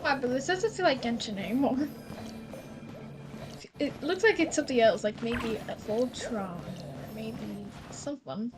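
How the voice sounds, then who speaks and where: High-voiced woman gamer chatting casually. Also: animated, measured pace, poor audio. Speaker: gamer playing and reacting. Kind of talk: casual gameplay chat. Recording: game music behind the voice.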